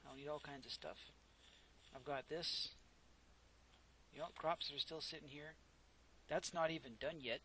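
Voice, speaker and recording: A soft-spoken man casually narrating his own gameplay, rambling and correcting himself as he goes, close to a desk microphone. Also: fairly high pitch, fairly even intonation, normal speed, pauses often, a little hiss or hum, rough recording. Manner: rambling, self-correcting, chatty. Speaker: gameplay commentator. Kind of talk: casual let's play commentary.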